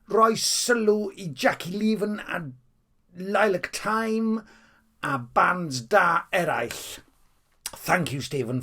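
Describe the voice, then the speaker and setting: A man's voice: high-pitched, fairly bright tone. A Welsh man rambling off the cuff to the viewer about his favourite music, chatty and theatrical, at times stepping back from the mic. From Wales. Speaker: music fan vlogger.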